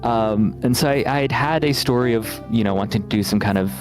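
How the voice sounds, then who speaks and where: A man speaking as a game developer in a casual interview, explaining things in a thoughtful, measured way. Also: mid-range voice. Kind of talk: casual interview answers over a game stream. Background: guitar music.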